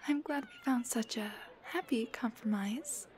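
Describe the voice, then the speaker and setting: A woman playing a cuddly, teasing, affectionate girlfriend in an intimate roleplay ASMR, speaking softly and breathily in a half-whisper close to the microphone. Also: a little high, unhurried, lightly acted. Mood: tender, soothing. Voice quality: breathy, soft. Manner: gentle, playful, affectionate.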